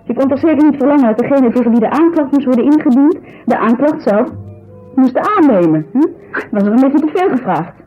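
A woman's voice: high-pitched, lively intonation, a little fast, slightly rough, soft background music. Distraught, bitter woman, muffled sound. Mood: indignant, bitter. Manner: agitated, emphatic, bitter storytelling with tag questions. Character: distraught, indignant woman telling of her injured friend. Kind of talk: 1970s Dutch radio drama acting. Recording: muffled 1970s radio recording.

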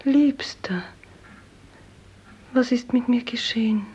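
An actress playing a drowned young beloved, tender and humble, in a solemn 1950s German radio play, half-whispering. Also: female voice, fairly high pitch, a little mellow, husky, exaggerated voice. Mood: awed, tender. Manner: solemn, poetic, slow. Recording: dull 1950s radio recording.

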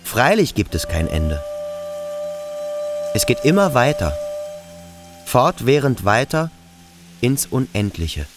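An actor playing a brooding, troubled schoolboy, thinking aloud intensely in a literary radio play. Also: male voice, mid-range voice, big pitch swings, slow, long pauses, over music. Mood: pondering.

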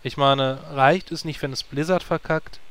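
A man's voice: mid-range voice, fairly even intonation, normal speed, a bit bright, smooth, natural voice. A man playing a sarcastic, exasperated druid in a German fantasy parody audio drama.

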